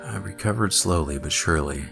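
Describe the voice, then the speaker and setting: A man with an extremely deep voice narrates a horror story. His delivery is scripted, measured and dramatic, with faint music playing behind him. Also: gravelly.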